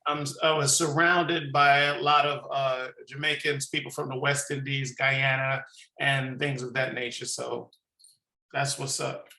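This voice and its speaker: Talk host from New York, effusive and slangy, bright voice with a gravelly edge, roomy echo. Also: male voice, mid-range voice, level tone, a little slow. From New York City. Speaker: podcast host. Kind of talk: casual interview chat.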